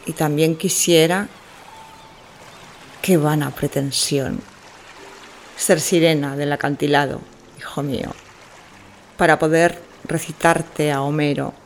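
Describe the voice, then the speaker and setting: A woman playing an obsessive, grieving middle-aged mother in an audio fiction monologue, speaking to her son in a hushed, intimate, theatrical manner. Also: low voice, steady tone, slow, long pauses, a little hiss or hum. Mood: tender, yearning.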